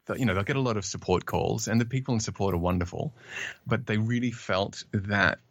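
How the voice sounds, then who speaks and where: A man's voice: lowish voice, fairly quick, no room sound. A native English-speaking man answering interview questions, hesitant and polite, with many fillers and restarts. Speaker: podcast guest, documentation writer.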